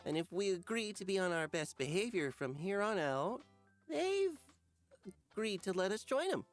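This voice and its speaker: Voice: medium pitch, sing-song, normal speed, soft background music, close to an everyday voice. An actor voicing an upbeat, dry-humored male middle school teacher in a visual novel, talking theatrically to a class. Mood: announcing, coaxing. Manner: theatrical, addressing a class of children. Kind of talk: animated visual novel character acting.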